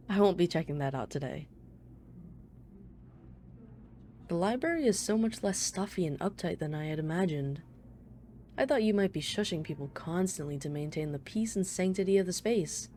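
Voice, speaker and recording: Woman playing a low, mellow, teasing female mafia boss. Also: slight background noise, natural voice. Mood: amused, playful. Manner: playful, smug, flirtatious banter. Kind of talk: ASMR roleplay, one-sided dialogue.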